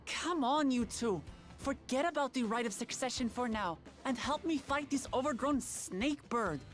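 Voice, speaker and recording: A woman's voice: sits high, expressive, moderate pace. A woman voicing an earnest, bold young princess in a fantasy game, with a determined, declarative delivery. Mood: rallying. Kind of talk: fantasy video game acting. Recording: game music behind the voice. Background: music.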